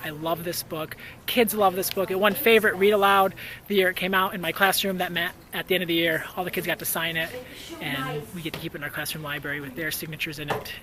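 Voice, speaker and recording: A man's voice: high voice, pushed and bright. An enthusiastic American man, a teacher casually recommending books in a vlog, emphatic and given to repeating himself for emphasis. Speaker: elementary school teacher and book reviewer. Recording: faint background noise, ambient sound.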